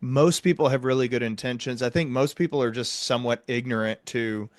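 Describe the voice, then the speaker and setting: A man's voice: medium pitch, a little fast, no echo. A man hosting a podcast, explaining things conversationally in an earnest, reflective way. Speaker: podcast host; psychiatric doctor.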